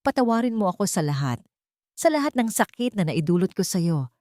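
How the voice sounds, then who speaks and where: A woman's voice: medium pitch, big pitch swings, fairly quick, a little mellow, dry recording, clean studio sound, natural voice. A Filipino-accented woman voicing a remorseful man who earnestly pleads for forgiveness in a dramatic story.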